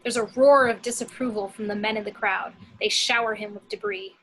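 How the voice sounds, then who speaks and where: A woman's voice: medium pitch, steady tone, brisk, a bit bright, low-quality recording. A woman reading scripted action descriptions as narrator in an amateur screenplay table read.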